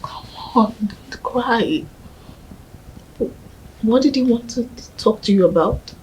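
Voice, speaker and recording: A woman plays a grieving woman, soft-spoken and pleading, her tone mellow and warm. Faint noise sits under her voice. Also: a little high, expressive, slow, low-quality recording. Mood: comforting. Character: grieving young widow, later comforting a man.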